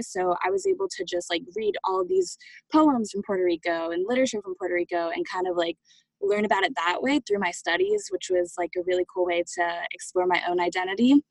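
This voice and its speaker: A woman's voice: mid-range voice, vocal fry, no echo, rough recording. Young woman chatting, lots of fillers. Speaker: guest. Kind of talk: casual interview answers.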